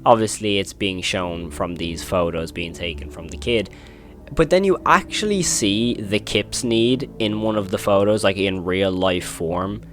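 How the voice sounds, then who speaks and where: A man's voice: fairly low pitch, measured pace, fairly bright tone. A man hosting a horror video commentary, retelling and reacting in an informal, emphatic way.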